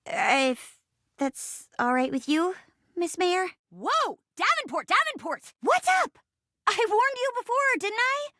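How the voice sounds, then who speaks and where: A high-voiced young actress chair come to life for a video game. She is earnest and casual, with a very animated, swooping delivery. The sound is close and dry. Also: no echo, exaggerated voice. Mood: indignant, flustered. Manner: animated, earnest, casual, halting when uncomfortable. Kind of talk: video game character dialogue.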